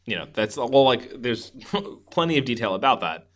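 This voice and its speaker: A man's voice: mid-range voice, animated, moderate pace, fairly bright tone. Enthusiastic, rambling man chatting casually. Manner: enthusiastic, rambling, laughs readily. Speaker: podcast co-host. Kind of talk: casual film review chat.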